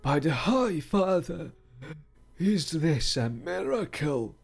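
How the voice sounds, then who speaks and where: A man's voice: a little high, animated, slow, gravelly, a little mellow, slightly rough, voice pushed up, full character voice. A man reading the lines of a stern, ruthless middle-aged male village priest in a storybook, in a commanding tone that drags out emphatic words. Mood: astonished.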